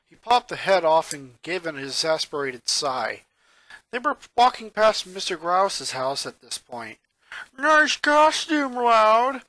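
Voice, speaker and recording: A man reading a story aloud as an expressive amateur narrator. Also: a little high, unhurried, no echo, low-quality recording. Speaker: narrator reading fan fiction.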